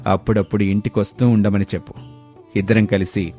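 A man playing humble, earnest village men in the dialogue of an animated moral story. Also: lowish voice, brisk, natural voice. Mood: approving. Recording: music under the voice.

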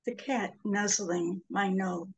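A woman in her sixties giving a measured, dramatic poetry reading over a video call from a room at home. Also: mid-range voice, breathy edge, dull audio. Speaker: poet. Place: small home room with bookshelves. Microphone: computer mic on a video call.

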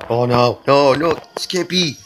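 Hoarse lady with a super low voice chatting over her game, noisy clicky background. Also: measured pace. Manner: chatty, reacting aloud to the game. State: hoarse, clearing throat. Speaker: gaming video creator. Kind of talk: casual gameplay commentary. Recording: clear background noise with clicks.

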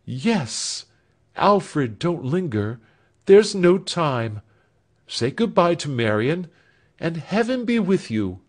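A man lifts his voice to play a calm, loving young woman from a storybook. She speaks tenderly, with a soft, raised voice that swings up and down. She is gentle and unhurried. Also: fairly high pitch, pitched above the performer's own voice, exaggerated voice. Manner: tender, urging.

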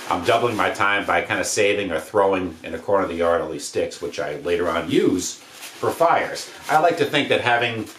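Man, American accent, low voice, offhand slangy how-to, roomy indoor echo, some background noise. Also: lively intonation, moderate pace. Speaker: DIY homesteading presenter. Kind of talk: casual hands-on how-to demonstration. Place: indoors, living room by wood stove.

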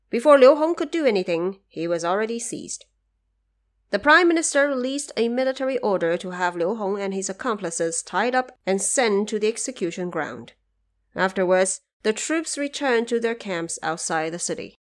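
A woman narrator delivering scripted, dramatic storytelling for an audio drama. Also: medium pitch.

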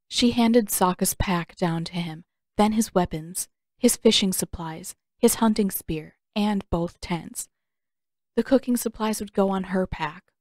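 A woman's voice: mid-range voice, darkish tone, no room sound, clean studio sound. A woman reading fiction aloud as a dramatic audiobook narrator.

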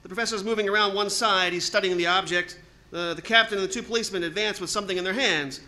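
A man playing an excitable radio field reporter giving a halting eyewitness account in a 1930s radio drama. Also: sits high. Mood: tense, watchful. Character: male radio reporter, awed and increasingly panicked eyewitness.

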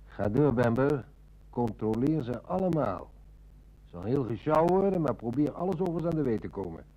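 Shrewd police inspector, mellow and animated. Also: male voice, medium pitch, moderate pace, highs cut off. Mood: commanding, brisk. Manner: authoritative, probing. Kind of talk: Dutch radio play acting.

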